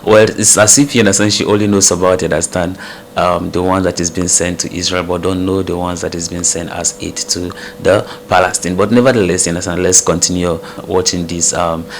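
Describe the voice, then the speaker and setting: A man's voice: deep voice, level tone, brisk, vocal fry, a little hiss or hum. A man commenting casually on a debate clip, hesitant with many fillers. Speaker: reaction commentator.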